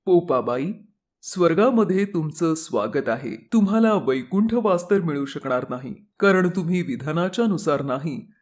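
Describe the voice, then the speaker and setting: A man's voice: on the high side, animated, moderate pace, a little mellow. Man playing a stately, courteous god of judgement. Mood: solemn, welcoming. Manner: formal, stately. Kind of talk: storybook character acting.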